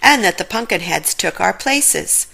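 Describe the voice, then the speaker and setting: A woman's voice: medium pitch, lively intonation, unhurried, gravelly, pushed and bright, husky, full character voice, rough recording. A woman voicing an upbeat, reasonable young woman from a mystery gang in a cartoon storybook. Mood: cheerful.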